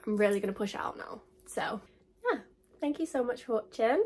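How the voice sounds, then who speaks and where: Young English woman, 20s, breathy, chatty beauty vlogger talking to camera. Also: on the high side, animated, moderate pace, darkish tone. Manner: chatty, gushing, lots of likes and literallys. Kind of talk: chatty get-to-know-me vlog.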